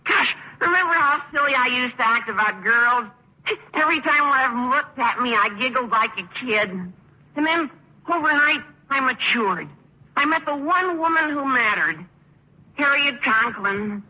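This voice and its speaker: A man playing an earnest, gushing, tactless high school boy in a radio sitcom, with a cracking adolescent voice and flowery phrasing. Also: expressive, normal speed, pushed and bright, heavily acted. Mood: eager, tactless. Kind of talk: 1950s radio sitcom acting. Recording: dull, noisy vintage radio recording.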